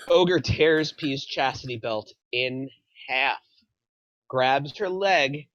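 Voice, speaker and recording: Guy reading script directions, medium pitch, bright voice, dry slightly lo-fi mic. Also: takes it slowly, dry recording. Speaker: narrator. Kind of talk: reading screenplay stage directions at a table read.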